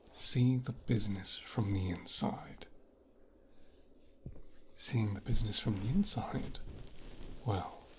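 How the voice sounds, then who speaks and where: A man playing an arrogant, bitter young son of a mafia boss in an ASMR roleplay, coldly menacing, with a breathy half-whisper and a deliberate, condescending delivery. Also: lowish voice, slight background noise. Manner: slow, deliberate, condescending, profane. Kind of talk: scripted ASMR roleplay, speaking directly to the listener.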